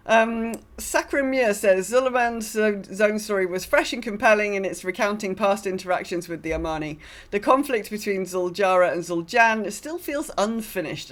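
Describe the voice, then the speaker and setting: A woman co-hosting a podcast, chatting casually and reading out listener comments in an agreeable way, often repeating phrases for emphasis. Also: medium pitch. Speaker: podcast co-host.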